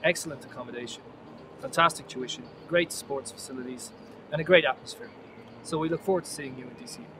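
Guy rattling off a school pitch quick, a bit far from the mic, music behind. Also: noisy background. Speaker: business development staff. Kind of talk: promotional talking-head.

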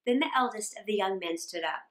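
A middle-aged woman reading a children's story aloud dramatically, with expressive, drawn-out emphasis. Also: mid-range voice. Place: small indoor room. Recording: roomy indoor echo.

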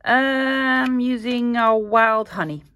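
A Dutch woman hosting a casual craft-with-me video, rambling cheerfully with fillers and soft laughs as she shows her supplies. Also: a little high, fairly even intonation, takes it slowly, a little hiss or hum. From Netherlands. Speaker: craft channel host.

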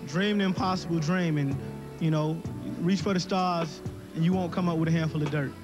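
A man's voice: a little high, steady tone, brisk, music in the background. A young man talking earnestly in an on-location interview. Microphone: handheld microphone.

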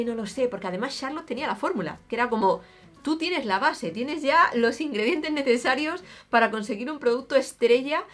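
Chatty, opinionated Spanish woman with music behind. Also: medium pitch. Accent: Spanish from Spain. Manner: expressive, opinionated, colloquial. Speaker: beauty vlogger. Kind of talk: casual chatty product review to camera. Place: small home room, indoors.

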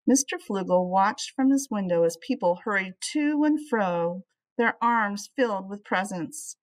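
A middle-aged woman reading a children's storybook aloud expressively, with measured, deliberate phrasing, as a teacher would. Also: mid-range voice, lively intonation.

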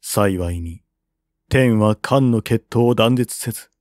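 A man voicing a calm, grave strategist of a fallen kingdom in formal, measured audiobook dialogue. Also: on the low side, dry recording, clean studio sound. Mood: solemn, resentful.

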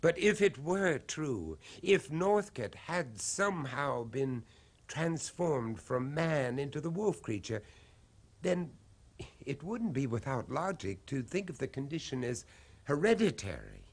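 A man's voice: medium pitch, sing-song. Grave grieving father, dramatically swooping voice. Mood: reasoning, urgent. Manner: grave, dramatic, deliberate phrasing. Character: grieving 19th-century father searching for his lost son. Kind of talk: 1970s radio horror play acting.

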